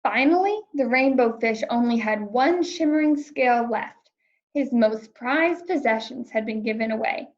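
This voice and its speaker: A woman reading a children's picture book aloud, narrating in a clear, expressive storytelling manner. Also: on the high side, normal speed, highs cut off. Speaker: storybook reader.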